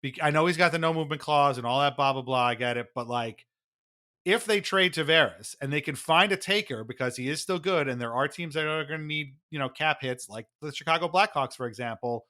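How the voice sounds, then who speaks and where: A man hosting a podcast, talking casually about hockey in a rambling, animated, conversational way. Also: on the high side, brisk. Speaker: podcast host.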